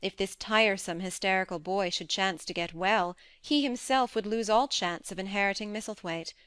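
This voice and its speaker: A woman's voice: medium pitch, moderate pace, a bit bright, clear, resonant tone, dry recording. A woman reading scripted audiobook narration as the narrator of a classic story.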